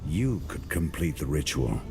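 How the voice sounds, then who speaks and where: An actor playing a stern, noble warrior hero in a video game, speaking in a grave, clipped, resolute manner. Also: male voice, very deep voice, very animated, normal speed, vocal fry, music in the background, heavily acted. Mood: grave, concerned. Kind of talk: video game cutscene acting.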